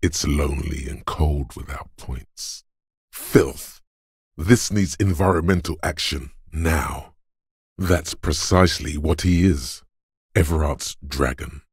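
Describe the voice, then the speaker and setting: An actor voicing a gruff, domineering inner voice of brute strength in a video game, husky and rumbling, with scornful, deliberate drill-sergeant delivery. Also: male voice, no room sound, clean studio sound, full character voice. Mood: stern coaching. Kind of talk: video game character narration.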